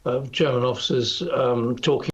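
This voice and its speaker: Slightly muffled, lo-fi broadcast audio of an older British man with a mid-range voice. He speaks formally as a political interviewee, measured but often hesitating as he builds his points. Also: medium pitch, fairly even intonation, breathy edge. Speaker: news interview guest.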